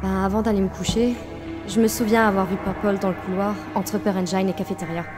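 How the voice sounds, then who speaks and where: A woman voicing a sharp, logical crewmate in a dramatic animated film dub, questioning and tense. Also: mid-range voice, fast, music in the background, natural voice. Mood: suspicious.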